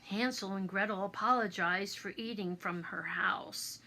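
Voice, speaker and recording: A woman narrating a children's bedtime story in a theatrical, expressive manner, as a storyteller. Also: mid-range voice, measured pace. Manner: theatrical, expressive, with exclamations and asides.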